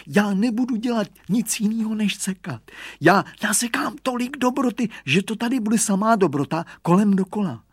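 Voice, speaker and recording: Man as whining, high-pitched schoolboy scamp. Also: animated, slightly rough, dry recording, studio quality. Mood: whimpering, grovelling. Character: cheeky scamp schoolboy, grovelling and boastful.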